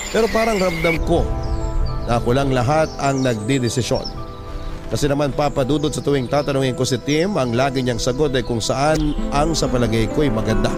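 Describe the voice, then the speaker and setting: A man with a Filipino accent reading a letter as scripted radio drama narration. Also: mid-range voice. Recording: music behind the voice.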